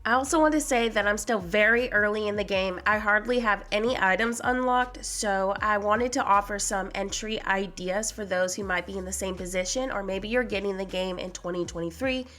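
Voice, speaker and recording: A young woman with a cold talks casually over her own gameplay, her voice stuffy and congested. She sits at a middle pitch and explains as she goes, chatty and relaxed, dropping "like" and "uh" along the way. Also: moderate pace, few pauses, faint music behind. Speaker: gaming channel host. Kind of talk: casual voice-over commentary on a building game.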